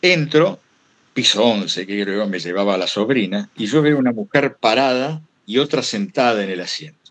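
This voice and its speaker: A man's voice: lowish voice, animated, moderate pace, fairly bright tone. A man with a Buenos Aires Argentine accent telling anecdotes at a public talk, digressing and correcting himself as he goes. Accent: Buenos Aires Argentine Spanish. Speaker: interview guest, radio host.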